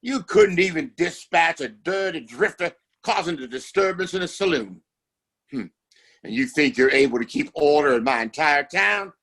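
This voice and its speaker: A man's voice: fairly high pitch, expressive, normal speed, a bit bright. An actor playing a domineering, contemptuous father and town boss in a Western audio play, speaking in a formal, commanding way. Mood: angry. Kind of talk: Western audio drama acting.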